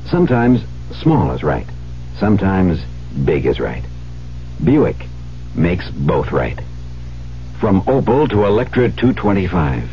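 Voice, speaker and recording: A male announcer with a very deep, expressive voice reads a conversational commercial. The old radio recording is dull with faint noise. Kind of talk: scripted conversational radio ad read.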